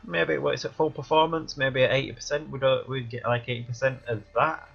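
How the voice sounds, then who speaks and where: Gravelly, sniffly man commentating a game. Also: mid-range voice, steady tone, brisk, fairly bright tone. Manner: rambling, thinking aloud while playing. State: stuffy, sniffly with a cold. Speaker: let's play gamer. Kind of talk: casual gameplay commentary. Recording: dull lo-fi recording, faint music behind.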